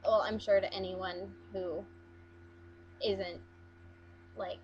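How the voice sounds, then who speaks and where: A woman's voice: mid-range voice, flat delivery, slow pace, lo-fi sound, a little hiss or hum. A young woman from Las Vegas chatting casually through an accent challenge, hesitant with frequent ums and restarts. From Las Vegas, USA. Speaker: vlogger.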